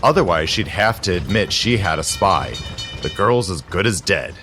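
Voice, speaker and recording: Cynical male conspirator for audio drama, low pitch, very animated, condescending bite. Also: normal speed, slight background noise. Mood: cynical, superior. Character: scheming, cynical male society conspirator, world-weary and superior.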